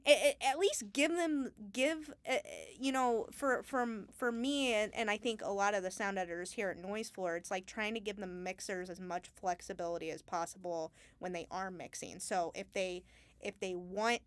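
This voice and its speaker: A woman being interviewed as a Foley artist, talking casually and haltingly as she thinks aloud. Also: medium pitch, no echo.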